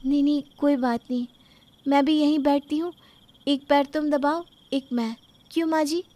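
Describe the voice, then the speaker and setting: A woman's voice: sits high, fairly even intonation, normal speed, pitched above the performer's own voice, natural voice. A woman voicing a young daughter-in-law in a moral story, meek, sweet, polite and eager to please. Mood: dutiful. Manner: pleading, soft-spoken politeness. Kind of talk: acted Hindi moral-story dialogue.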